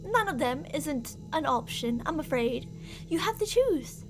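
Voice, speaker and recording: A woman's voice: sits high, big pitch swings, a little slow, music in the background, full character voice. A woman playing an obsessively jealous, murderous stalker lover in an ASMR roleplay, theatrical and possessive, swinging between coaxing and menace. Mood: cold menace. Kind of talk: ASMR girlfriend roleplay acting. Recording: audible background noise.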